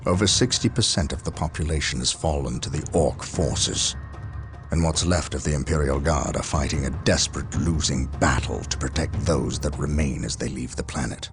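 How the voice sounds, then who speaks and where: An actor playing a grave, resolute space marine commander, giving a solemn, measured, authoritative briefing in a video game cutscene. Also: male voice, a bass voice, full character voice. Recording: faint music underneath.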